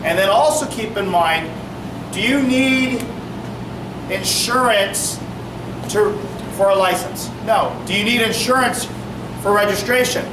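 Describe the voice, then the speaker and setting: A man, a police officer, explaining things to a community meeting, projecting his voice and quizzing the audience. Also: sits high. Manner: projecting, brisk, asks the audience questions. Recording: echoey room from far off, noisy.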